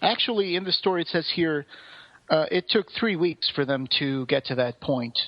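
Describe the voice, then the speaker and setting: Higher-voiced man in an echoey, muffled recording. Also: expressive, moderate pace. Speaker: podcast co-host. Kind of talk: casual discussion. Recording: roomy echo, dull muffled sound.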